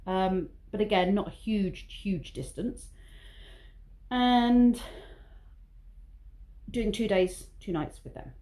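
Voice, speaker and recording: A woman's voice: medium pitch, animated, slow, pauses often, warm tone. A woman talking casually to camera in a vlog, chatty and prone to rambling. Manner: rambling, chatty, self-aware waffling. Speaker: vlogger.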